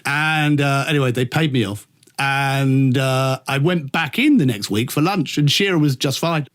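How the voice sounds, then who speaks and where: A man's voice: medium pitch, moderate pace, a bit bright. A middle-aged British man chatting in a camp, theatrical way, telling anecdotes and acting out the dialogue as he goes. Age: middle-aged or older. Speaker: radio presenter, interview guest. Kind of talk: animated anecdotal chat.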